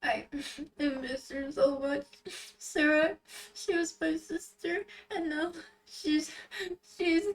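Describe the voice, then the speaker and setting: A woman voicing a fragile, guilt-ridden young character in a story, crying and halting as they speak. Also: sits high, distant mic, voice pushed up. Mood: tearful, apologetic. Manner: halting, trembling, apologetic.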